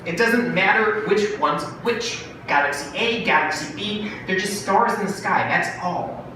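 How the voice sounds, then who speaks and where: A man's voice: sits high, a little slow, pitched above the performer's own voice. Man reading as cajoling brother, very echoey room. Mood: earnest, bitter. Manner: salesman-like, cajoling, animated. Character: Jacob, fast-talking wheedling brother pitching a bargain. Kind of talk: dramatic monologue read from a short story. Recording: very echoey room, distant mic, noisy.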